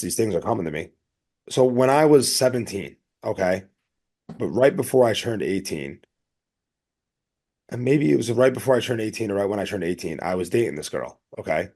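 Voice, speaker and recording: A man with a fairly low voice speaks as a podcast co-host. His delivery is casual and earnest, wandering along in a loose, anecdotal, conversational flow. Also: a little mellow.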